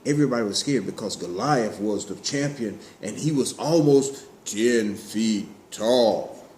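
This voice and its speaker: Animated man telling children's stories, echoey room. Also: lowish voice, a little slow. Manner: simple words, repeats phrases for emphasis. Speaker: storyteller, puppet show host. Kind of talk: animated children's Bible storytelling, asking questions. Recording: roomy echo, clear background noise.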